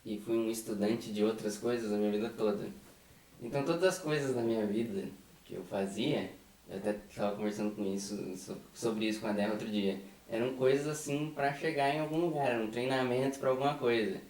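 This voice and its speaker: A man's voice: mid-range voice, a little fast, a little mellow. A young Brazilian man, an undergraduate, chatting casually about being in a student theatre group. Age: young adult. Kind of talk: casual chat. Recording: roomy, slightly distant mic.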